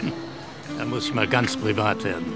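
A man's voice: lowish voice, moderate pace. A man, chatting personally as an interviewee in the street. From Leipzig, Saxony, Germany. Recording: music and outdoor traffic behind.

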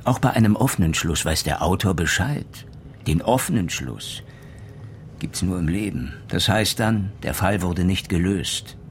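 An actor playing a gruff, sardonic older retired police inspector in a German radio crime play, with a commanding, sarcastic manner. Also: male voice, low-pitched, expressive, a little slow, slight background noise. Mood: dry, measured.

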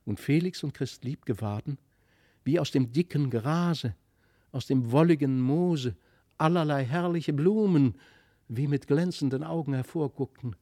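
Bright-voiced man reading a fairy tale. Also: fairly high pitch, a little slow, no echo, studio quality. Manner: literary, expressive storytelling. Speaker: audiobook reader. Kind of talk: expressive fairy-tale narration.

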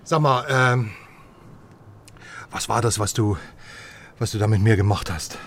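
An actor playing a stout West German journalist, wheedling and brash, in naturalistic radio drama style. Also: male voice, fairly low pitch, unhurried, fairly bright tone. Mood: relieved, wary. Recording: music behind the voice.

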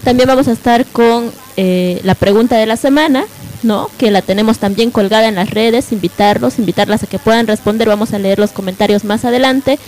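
A woman's voice: fairly high pitch, brisk, a little mellow, poor audio. A woman co-hosting a live radio programme in a conversational style. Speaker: radio co-host.

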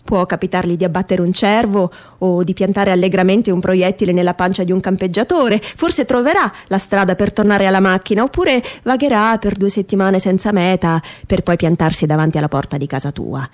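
A woman performing an expressive, ironic reading of a humorous story in a theatrical radio style. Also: medium pitch, a little fast, few pauses. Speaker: performer reading a literary text.

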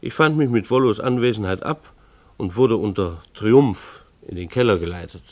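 An actor playing a harried, ironic husband and father, narrating his family's chaos in a deliberate, formal radio drama style. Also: on the low side, darkish tone, dry recording, lightly acted. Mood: puzzled, formal.

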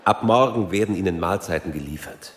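A male actor plays a young official in a radio play, slick, formal and reassuring while giving little away. His voice is low, with slight noise behind it. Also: a little fast, natural voice. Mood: accommodating, evasive. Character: slick, courteous young Saudi male official, smoothly evasive. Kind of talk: German radio drama dialogue.

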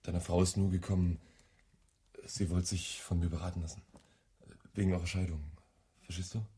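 Evasive placating adulterer, acted by a man, dark low voice. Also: flat delivery, moderate pace, lightly acted. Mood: alarmed, placating. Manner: hesitant, appeasing. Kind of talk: German radio play acting.

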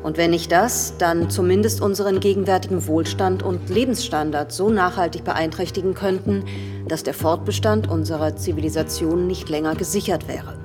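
An actress playing a formal, measured professor presenting a report in a German radio play. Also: female voice, fairly low pitch, long reverb tail, over music, close to an everyday voice.

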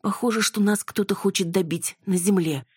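A woman's voice: medium pitch, normal speed, darkish tone, breathy edge, dry recording, studio quality, voice pushed up, lightly acted. A woman voicing a spirited, wry and direct young woman in an audiobook. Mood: tense, wry.

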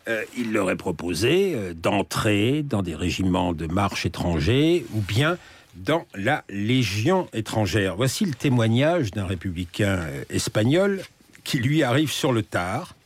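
A male radio host with a very low, husky voice steers a history interview. His pitch moves in a lively way as he frames archive clips and presses his guest with measured questions.

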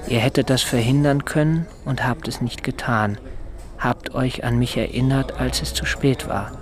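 An actor playing a brooding, bookish tutor in a radio drama, speaking in a deliberate, measured way. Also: male voice, mid-range voice, steady tone, a crowd in the background, close to an everyday voice. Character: brooding literary tutor secretly writing a missing boy's letter. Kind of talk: radio drama acting and narration.

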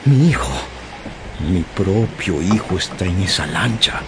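A man's voice: low-pitched, expressive, unhurried, heavy background noise. An actor playing a desperate older ship captain begging for help to find his son, in a radio drama. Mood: pleading. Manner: pleading, emotional, voice swinging wildly. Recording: radio drama with music bed. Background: music score.

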